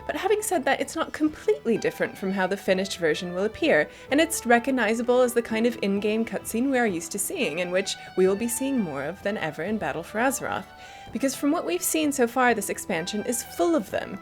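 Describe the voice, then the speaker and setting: A woman's voice: on the high side, sing-song, brisk, faint music behind. A woman giving chatty, enthusiastic and emphatic scripted commentary as the host of a gaming news show.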